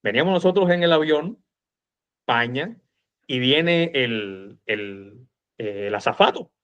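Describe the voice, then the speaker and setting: A man's voice: mid-range voice, expressive, unhurried, a bit bright, clear, resonant tone. A man with a Cuban accent talking about history in an informal, emphatic and colloquial way, on a slightly dull recording. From Cuba. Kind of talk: animated informal history discussion.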